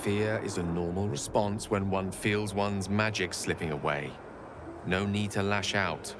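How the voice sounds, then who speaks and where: An actor playing a proud, articulate wizard in a fantasy video game, speaking in a droll, wordy, dignified manner. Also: male voice, fairly low pitch, fairly even intonation, measured pace, noisy background. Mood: wry unease. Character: proud, wry, articulate male wizard companion.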